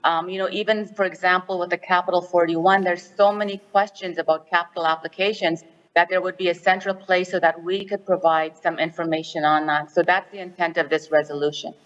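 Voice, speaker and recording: A woman's voice: lowish voice, fairly even intonation, a little fast, long reverb tail, lo-fi sound. A woman chairing a directors forum, speaking earnestly to an assembly by phone and explaining a resolution with frequent restarts. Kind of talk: formal assembly remarks by phone.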